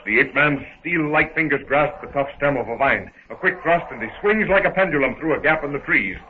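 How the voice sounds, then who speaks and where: A man's voice: on the high side, animated, a little fast. A man giving scripted, dramatic narration for a 1930s adventure radio serial in a theatrical storytelling manner. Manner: theatrical, present-tense storytelling. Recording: scratchy, phone-like 1930s radio recording.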